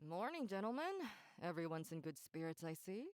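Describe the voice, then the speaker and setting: A woman's voice: on the low side, expressive, brisk, smooth, dry recording, close to an everyday voice. A woman playing a cheerful, knowing, playfully teasing crewmate in a visual novel.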